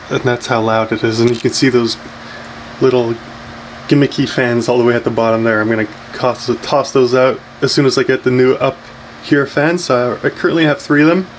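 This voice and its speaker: A man vlogger talking casually through a hands-on computer build, often pausing and rewording his explanations as he goes. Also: mid-range voice, steady tone, slight background noise, low-quality recording. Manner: informal, halting, self-correcting explanations. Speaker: tech vlogger.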